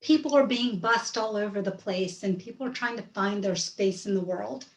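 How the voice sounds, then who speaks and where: A woman's voice: medium pitch, moderate pace, reverberant. A woman in her fifties from rural Georgia, whose first language is Hindi, telling a personal story in vivid, scene-setting detail over a video call. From rural Georgia, USA.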